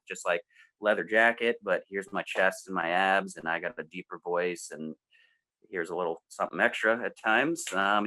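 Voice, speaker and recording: A man's voice: lowish voice, bright, forceful tone, poor audio. An American man in his thirties chatting in an informal, rambling way as a co-host on a music show. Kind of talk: casual chat.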